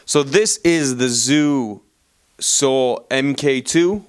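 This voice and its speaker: A man's voice: medium pitch, extremely slow, clean tone. A man giving a casual voice-over product review, speaking as a reviewer to viewers.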